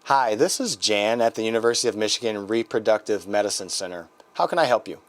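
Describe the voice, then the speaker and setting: A man acting as an American clinic receptionist on a scripted training call. The voice sits on the low side, courteous and accommodating, explaining procedures helpfully. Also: quick pace, background noise, close to an everyday voice. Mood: helpful, businesslike. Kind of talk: scripted training role-play phone call.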